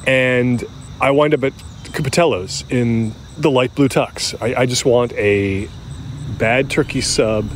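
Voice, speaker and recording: An actor playing a middle-aged American man who recalls his youth candidly, in a halting, conversational, self-deprecating way, as if interviewed for an audio drama. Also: medium pitch, a little hiss or hum, natural voice. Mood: candid, rueful. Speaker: interview subject. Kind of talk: naturalistic audio drama acting as interview reminiscence.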